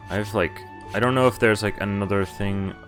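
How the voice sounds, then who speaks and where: A man streaming a game, reading its text aloud and commenting informally, in a halting way as he thinks out loud. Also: fairly low pitch, fairly even intonation. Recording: music under the voice. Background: game music.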